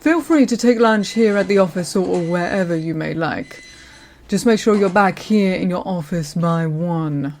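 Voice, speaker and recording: A woman's voice: lowish voice, a little mellow, natural voice. Woman acting a courteous senior lawyer, noisy recording. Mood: polite, businesslike. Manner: polite, measured, professional. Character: courteous senior female lawyer showing a newcomer around. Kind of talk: scripted audio roleplay, addressing the listener.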